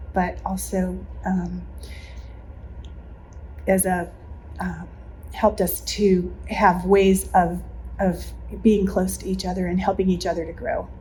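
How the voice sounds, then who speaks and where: A woman, a longtime church member, telling a personal story in an interview in an earnest, measured way. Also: medium pitch, warm tone, a little hiss or hum, rough recording.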